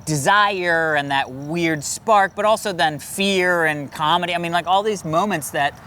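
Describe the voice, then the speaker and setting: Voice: medium pitch, measured pace, sharp tone. A show host chatting casually and enthusiastically, like an informal film reviewer, with some background noise.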